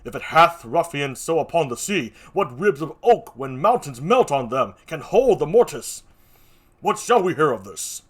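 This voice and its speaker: Man playing a higher-pitched, animated Shakespearean governor. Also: normal speed, slightly rough. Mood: grave, alarmed. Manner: declamatory. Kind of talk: theatrical Shakespeare read-aloud.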